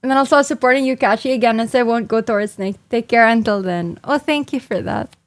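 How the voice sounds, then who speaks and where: A woman's voice: fairly high pitch, brisk, no echo. A woman with a Filipino accent, a livestreamer reading viewer confessions aloud and reacting bluntly. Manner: blunt and exasperated in reactions, mocking the writer.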